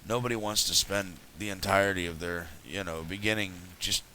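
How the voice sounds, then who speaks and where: A man hosting a casual game commentary, his voice raspy from having just woken up, rambling and chatty as he plays. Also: sits low, fairly even intonation, a little fast, sharp tone, slight background noise, poor audio. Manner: rambling, chatty, self-correcting. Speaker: let's play host.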